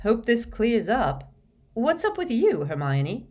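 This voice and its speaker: A woman's voice: on the high side, wildly swooping pitch, slow, darkish tone, pitched above the performer's own voice, full character voice. Woman playing a blunt, sarcastic teenage schoolboy. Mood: puzzled.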